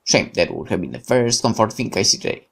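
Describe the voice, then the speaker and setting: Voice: medium pitch, expressive, fairly quick. A game streamer reading visual novel narration aloud expressively, occasionally stumbling over words. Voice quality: creaky.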